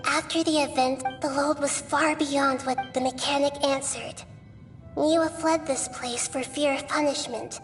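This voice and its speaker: A woman voicing a gentle, wise, child-like little goddess in a video game, speaking in an earnest, measured way. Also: sits high, in a large hall, natural voice.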